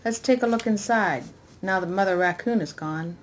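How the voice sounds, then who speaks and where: Casting: easygoing young 1960s commune woman read by a female performer, medium pitch, for audio fiction. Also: moderate pace, close to an everyday voice. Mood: matter-of-fact. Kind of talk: dramatic reading of novel dialogue.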